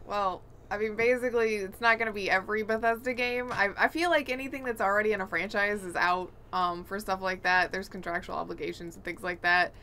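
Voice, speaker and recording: A woman's voice: medium pitch, steady tone, brisk, hardly any pauses, pushed and bright. Woman discussing game news, slightly noisy recording. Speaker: podcast co-host. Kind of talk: casual gaming news discussion.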